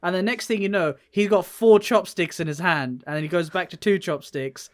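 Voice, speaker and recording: A man with a fairly high-pitched voice talks as a podcast co-host. His delivery is casual and informal, full of relaxed slang, like friends chatting. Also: moderate pace. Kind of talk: casual gaming podcast chat.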